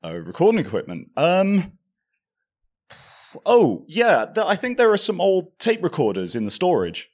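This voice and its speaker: A hesitant young male office assistant in an audio drama. His voice sits fairly high and swings around a lot, stumbling over words in a sheepish way. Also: wildly swooping pitch, measured pace, heavily acted. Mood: helpful. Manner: hesitant, stammering. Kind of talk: audio drama performed live on stage.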